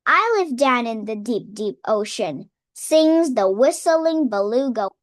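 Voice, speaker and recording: A woman's voice: on the high side, animated, slow pace, no echo, clean studio sound. A woman reading a children's picture book aloud. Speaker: children's story reader.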